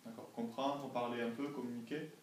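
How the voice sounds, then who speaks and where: A man's voice: medium pitch, fairly even intonation, fairly quick. A young man from France, answering interview questions as a language assistant, carefully and didactically, often checking that he is understood. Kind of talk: informal classroom interview answers. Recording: echoey classroom, distant mic, faint noise.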